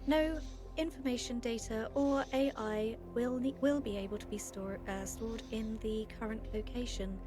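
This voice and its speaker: A self-assured AI acted by a woman with a fairly high female voice. She announces things in formal, clinical phrases, as in a sci-fi drama, with music clearly playing behind her. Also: fairly even intonation, normal speed, close to an everyday voice. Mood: clinical, assertive.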